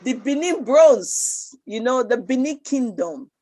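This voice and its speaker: A woman's voice: high-pitched, lively intonation, slow pace, mellow. A Nigerian woman speaking with a Nigerian accent in a casual talk show, passionate and emphatic, often repeating herself. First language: Nigerian language.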